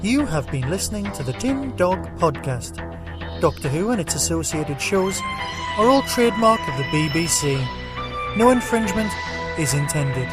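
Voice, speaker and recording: Male podcast announcer, high lively pitch, theatrical scripted read over very loud music. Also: normal speed.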